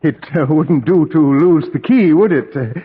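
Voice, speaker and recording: A man's voice: on the high side, expressive, moderate pace, slightly rough, dry recording. A man playing a polite, uneasy Englishman who speaks and narrates with precise diction in an old-time radio play. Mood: nervous. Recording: dull vintage radio recording, music behind.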